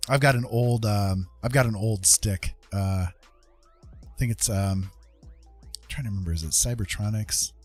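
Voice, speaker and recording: A man with a fairly low voice talks casually as a stream host, with faint music behind him. He rambles in a hesitant way, stopping mid-thought and circling back over the same phrases. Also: measured pace, long pauses. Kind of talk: casual rambling chat with viewers.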